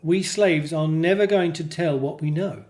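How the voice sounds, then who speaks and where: A man's voice: on the high side, normal speed, darkish tone, lightly acted. A man playing a hopeful Hebrew slave and family man in a scripted radio drama, telling things earnestly. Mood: hopeful, reassuring.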